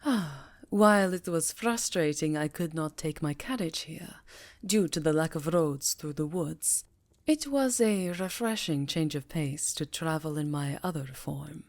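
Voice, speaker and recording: A woman's voice: sits low, lively intonation, unhurried, no echo. A woman playing an elegant, aristocratic vampire countess, courteous and darkly charming, speaking in a courtly, genteel way for an ASMR fantasy roleplay. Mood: courtly, charmed.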